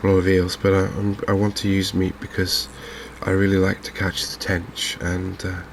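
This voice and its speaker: Softly spoken English male game host, flat and hushed delivery, gravelly fry, faint background noise. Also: low-pitched. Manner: gentle, unhurried, hushed. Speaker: gaming video host. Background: fishing game ambience.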